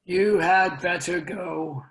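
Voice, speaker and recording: A woman playing a dignified, fearful ancient male president, halting and formal, in a roomy video call drama reading. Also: lowish voice. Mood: grave. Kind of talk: dramatic reading of a science fiction story.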